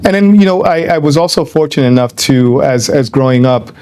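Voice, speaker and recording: An older man from Ecuador, answering an interviewer's questions in a reflective, unhurried way. He pauses with lots of "um" and "you know", restarts his phrases, and slips Spanish words into his English. His pitch sits in the middle range for a man. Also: expressive, a little mellow, clean tone. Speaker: guest.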